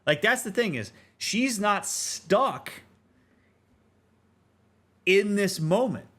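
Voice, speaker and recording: A man's voice: high voice, expressive, drawn-out words, fairly bright tone. Earnest man commentating on a game. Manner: earnest, emphatic, explanatory, conversational. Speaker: streamer, therapist host. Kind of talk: reflective gameplay commentary.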